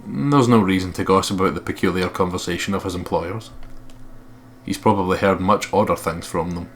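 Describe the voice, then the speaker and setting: A man's voice: low-pitched, a little fast, a little hiss or hum. A man reading game text aloud and commentating casually on a let's play, blunt and sweary.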